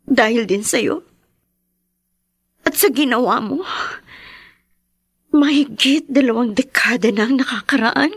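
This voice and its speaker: Slow-spoken, high-voiced devoted daughter. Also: female voice, slightly rough, dry recording, clean studio sound. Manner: slow, emotional, earnest delivery. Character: devoted, wounded adult daughter caring for her sick father. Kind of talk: Filipino radio drama acting.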